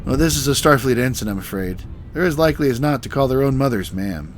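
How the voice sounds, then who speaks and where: A man's voice: mid-range voice, lively intonation, quick pace, slight background noise, lightly acted. A man playing a composed, dryly sardonic starship captain in a science fiction audio drama, speaking formally. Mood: wry. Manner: formal, dry, restrained.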